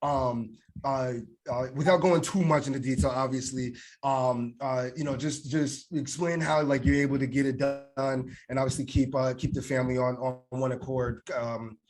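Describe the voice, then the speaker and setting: A man co-hosting a casual interview podcast, circling around his questions in a halting way with lots of filler words. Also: medium pitch, fairly even intonation, darkish tone, low-quality recording. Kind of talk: casual chat.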